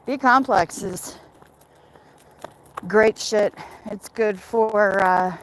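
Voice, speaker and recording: Casting: American woman, casual livestream host, slow rambling delivery, recorded outdoors with clear background noise. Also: mid-range voice, poor audio. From Michigan, United States. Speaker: livestream host chatting with viewers. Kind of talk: casual livestream chat while walking.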